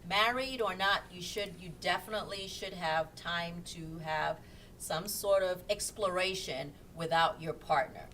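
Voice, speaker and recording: A woman in her thirties chats casually on a home live stream, lower-pitched and sociable. Her voice sits back from the webcam mic with a faint low rumble underneath. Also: measured pace, a bit bright, distant mic. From England. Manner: chatty, laughs often, reads viewer comments aloud. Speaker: co-host of a couple's live chat. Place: small room at home, indoors.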